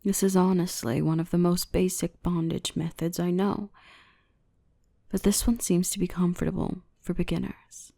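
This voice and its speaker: Gentle dominant dark elf , played by a woman: low, breathy-soft ASMR murmur with creaky edges, tender and reassuring. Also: little pitch movement, no echo, studio quality. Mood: soothing, careful. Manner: soothing, unhurried, reassuring, often whispering. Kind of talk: ASMR roleplay, softly whispered and spoken.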